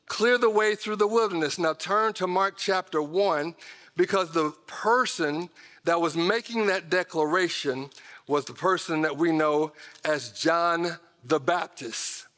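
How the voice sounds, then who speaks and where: Bright-voiced American preacher man in a hall. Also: a little high, unhurried. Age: middle-aged. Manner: emphatic, conversational, addresses listeners directly with folksy asides. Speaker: pastor. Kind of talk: sermon to a congregation. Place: large church auditorium stage, indoors. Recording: reverberant hall sound from stage.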